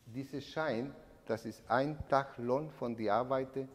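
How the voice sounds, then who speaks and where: A man in his sixties from Chile with a Chilean Spanish accent, giving a personal testimony to an audience, searching haltingly for words in a language not his native Spanish. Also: medium pitch. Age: 60s. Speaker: eyewitness speaker giving a testimony. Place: indoor event room, standing at a lectern. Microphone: microphone on a stand. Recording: reverberant hall.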